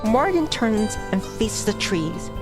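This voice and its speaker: Brief: woman streamer narrating a game, mid-pitched voice, chatty asides, soft music under her. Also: big pitch swings, slow. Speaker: VTuber streamer. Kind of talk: reading a visual novel aloud. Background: background music.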